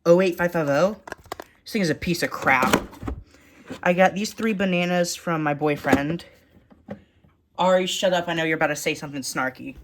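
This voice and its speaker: Low-voiced woman giving a casual show-and-tell. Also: normal speed, long pauses, noisy background. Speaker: vlogger showing a radio collection.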